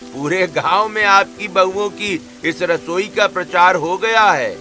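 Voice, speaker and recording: Respectful farm hand, higher male voice, cartoon delivery with clear music behind acted by a man. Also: unhurried. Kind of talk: cartoon story voice acting.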